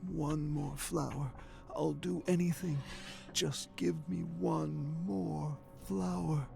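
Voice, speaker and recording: A man's voice: a little high, fairly even intonation, slow pace, gravelly, darkish tone, faint music behind, heavily acted. An actor playing a tormented, desperate scientist craving a drug, a man pleading in an agitated, anguished way in game voice acting. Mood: desperate begging.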